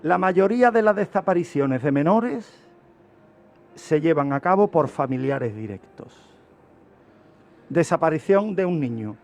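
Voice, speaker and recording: A middle-aged man with an accent from Spain and a fairly high voice. He talks as an expert on the radio, laying out points in short, emphatic phrases and turning to examples. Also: lively intonation, unhurried, long pauses, a little mellow. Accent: Spanish from Spain. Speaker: criminologist guest expert. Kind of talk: explanatory radio talk.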